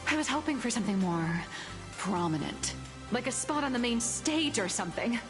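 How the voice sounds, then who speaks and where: A woman's voice: on the high side, animated, normal speed, lightly acted. A woman playing a bubbly, self-important female comic artist in a video game, with a dramatic, griping manner. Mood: hushed, disappointed. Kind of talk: video game character dialogue. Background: game music.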